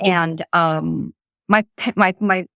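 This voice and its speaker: Older woman, halting and reflective, dry sound. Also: lowish voice, fairly even intonation, fairly bright tone, dry recording. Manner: halting, searching for words, repeats phrases. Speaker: interview guest, author and psychologist. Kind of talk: reflective personal conversation.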